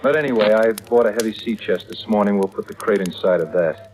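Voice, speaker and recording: A man's voice: lowish voice, brisk, darkish tone, a little hiss or hum, lightly acted. An actor playing an earnest, determined man in a 1940s radio thriller, speaking in a dramatic manner. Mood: insistent, polite. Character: earnest, determined man haunted by growing dread. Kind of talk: 1940s radio acting with first-person narration. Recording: vintage radio drama, musical underscore.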